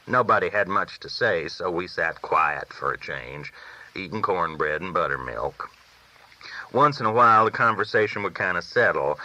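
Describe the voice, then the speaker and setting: A man's voice: low-pitched, pushed and bright, poor audio. A man reading a first-person novel aloud as a folksy storyteller. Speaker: audiobook reader.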